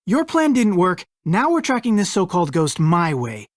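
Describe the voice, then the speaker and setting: A woman's voice: on the low side, big pitch swings, moderate pace, no echo, clean studio sound. A woman playing a skeptical, no-nonsense investigator in a video game. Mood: suspicious, businesslike. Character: skeptical, practical female investigator, no-nonsense and wry.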